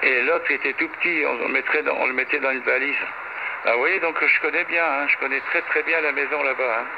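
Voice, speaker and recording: A man's voice: medium pitch, fairly even intonation, brisk. An older man chatting casually over amateur radio, rambling and repeating himself with frequent tag words. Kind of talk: casual ham radio chat with call signs. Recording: noisy, dull amateur radio link. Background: radio noise.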